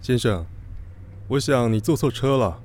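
A man's voice: medium pitch, measured pace, noisy background, close to an everyday voice. An actor playing a composed, reassuring male stranger at the wheel in a radio drama. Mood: calm, reassuring. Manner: calm, reassuring.

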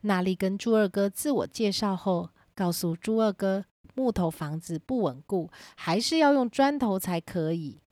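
A woman's voice: mid-range voice, lively intonation, normal speed, hardly any pauses, darkish tone, no room sound, clean studio sound. A woman narrating a scripted children's story.

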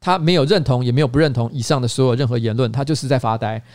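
A man's voice: medium pitch, rapid-fire, darkish tone, no room sound, clean studio sound. A man with a Taiwanese Mandarin accent hosting a casual comedic podcast, teasing and mock-scolding as he chats. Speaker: podcast host.

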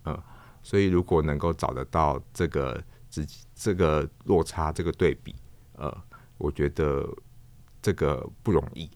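Deep-voiced Taiwanese man, thoughtful. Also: a little slow, a little mellow, no room sound. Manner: thoughtful, searching for words, repeats phrases. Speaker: guest, stage actor and storyteller. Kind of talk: reflective interview talk.

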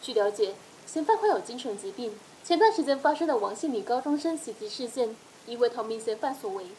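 A woman's voice: on the high side, animated, a little fast, warm tone, a little hiss or hum, lightly acted, rough recording. An actress playing a formal female news anchor reading a scripted bulletin in an audio drama.

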